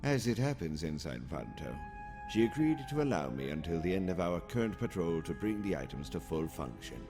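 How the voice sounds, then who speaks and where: Low, measured alien naval officer. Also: male voice, sing-song, music in the background. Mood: composed, explanatory. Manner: formal, measured, precise. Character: calm, calculating alien male naval officer. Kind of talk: audio comic drama acting.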